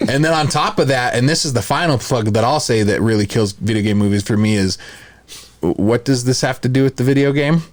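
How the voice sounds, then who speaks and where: A man's voice: mid-range voice, fairly quick, no room sound. Dry-recorded male podcast co-host chatting. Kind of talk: casual opinionated podcast chat.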